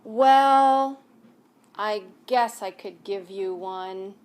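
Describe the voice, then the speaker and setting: A woman voicing a grumpy male cactus who shouts at passersby, in a children's storybook read-aloud at home. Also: medium pitch, very slow. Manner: shouting, gruff. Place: home, by a fireplace.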